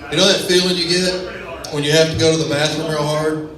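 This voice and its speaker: West Texas stand-up comic in echoey club. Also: male voice, on the high side, little pitch movement, brisk. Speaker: stand-up comedian. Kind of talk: stand-up comedy set. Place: comedy club stage. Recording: echoey club sound from far off with a crowd.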